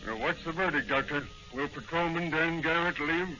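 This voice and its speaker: An actor playing a fond, authoritative police commissioner in 1940s-style radio drama, formal and emphatic. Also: male voice, on the high side, normal speed, over music, close to an everyday voice. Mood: concerned, fond. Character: gruff but fond police commissioner and father. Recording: noisy, muffled old-time radio recording.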